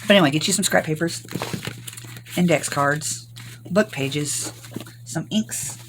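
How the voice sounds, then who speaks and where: An older woman from Arkansas, hoarse from recent illness, chatting through a rambling crafting tutorial. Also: low voice, measured pace, noisy background. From Arkansas, US South. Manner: rambling, homey, many ums. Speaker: craft channel host.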